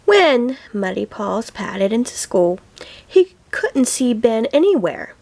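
A woman reading a children's picture book aloud as a teacher would, with deliberate, expressive phrasing for young children. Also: on the high side, vocal fry. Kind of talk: scripted storybook read-aloud.

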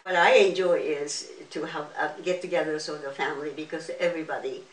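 An older woman answers briefly and modestly in a very low voice. She is recorded from a distance in a roomy living room with a light hiss. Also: steady tone, brisk. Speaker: interviewee. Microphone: far camera.

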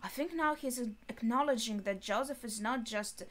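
A young adult woman giving casual reaction commentary, thinking aloud haltingly in short phrases. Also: fairly high pitch. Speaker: anime reaction host. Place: small bedroom indoors.

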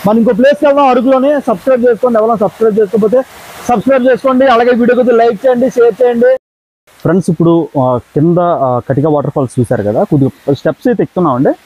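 High-pitched young man vlogging fast outdoors. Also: warm tone, breathy edge, low-quality recording. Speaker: travel vlogger. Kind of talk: casual vlog chat to camera. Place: outdoors on forest trail and by waterfalls. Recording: outdoor handheld recording with background noise.

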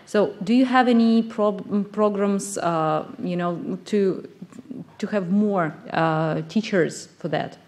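A woman in the audience of a lecture hall asking a question, soft-spoken and hesitant. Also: mid-range voice, slow. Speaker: audience member. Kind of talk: question from the floor.

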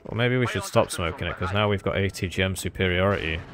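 A man's voice: sits low, quick pace, a crowd in the background. A man giving casual, rambling and self-deprecating commentary on his own strategy game as he plays.

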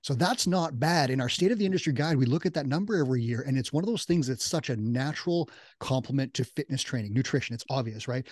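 A man's voice: mid-range voice, expressive, fast talker, few pauses, darkish tone, no room sound, clean studio sound. A middle-aged man hosting a conversational business interview. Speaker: podcast host and interviewer. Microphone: boom-arm studio microphone with headset.

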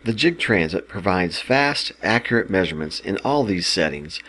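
A man reading a scripted instructional product narration in a measured, explanatory manner. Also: deep voice, animated, a bit bright.

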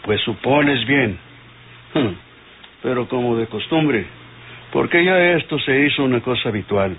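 A man's voice: mid-range voice, a little slow, close to an everyday voice. An actor playing a weary, stern, sardonic middle-aged father and lawman in an old radio soap. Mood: weary, bitter. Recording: tinny old radio recording, faint noise.

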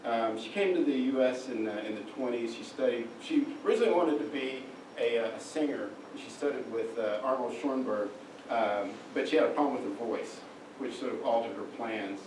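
A man with an Oklahoma accent giving a slide lecture in a darkened hall, halting with many fillers and restarts. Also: mid-range voice. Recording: echoey room from far off, noisy.

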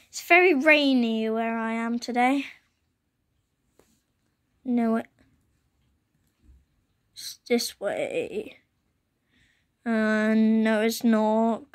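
A young woman with a croaky voice chatting casually as she plays a computer game, recorded on a phone she holds up to her laptop screen at home. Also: a little high. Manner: chatty, exclaims often. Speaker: gaming channel host. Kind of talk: casual gameplay commentary. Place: indoors at home, rain outside. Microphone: phone held while filming a laptop screen.

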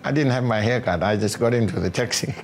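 Older gravelly-voiced man. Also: fairly low pitch, quick pace. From small Pacific island north of Fiji. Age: older, about 60. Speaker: housing tenant giving a testimonial. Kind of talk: personal story told in an interview. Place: small living room at home, indoors. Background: background music.

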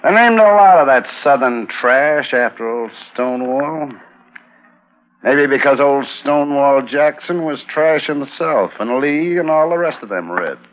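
Guy playing cold western villain gunman, taunting, very animated pitch, tinny old radio sound. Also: a little slow, natural voice. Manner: menacing. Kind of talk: 1950s radio drama acting.

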